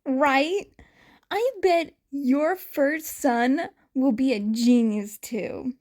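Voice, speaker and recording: A woman voicing an upbeat, friendly father in a story, with a lively questioning manner. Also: high-pitched, drawn-out words. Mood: teasing. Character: upbeat, friendly adult man, a caring father.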